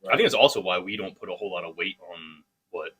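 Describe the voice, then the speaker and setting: A man with a fairly low but sharp-edged, husky voice. He co-hosts a casual podcast and speaks bluntly, with plenty of profanity and a loose, offhand feel. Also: animated, quick pace, rough recording. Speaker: podcast co-host. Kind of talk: casual joking chat.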